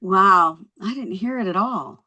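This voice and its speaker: An actress playing a quick-witted, perky young married woman with wry comic flair at a script table read. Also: mid-range voice, lively intonation, sharp tone, no room sound, lo-fi sound, heavily acted. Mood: bright, cheerful.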